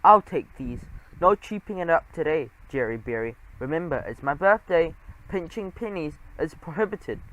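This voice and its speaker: A man voicing a greedy, whiny and pushy male mouse cousin in an audiobook, loud and bossy. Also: on the high side, lively intonation, moderate pace, rough recording. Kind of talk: audiobook character voice.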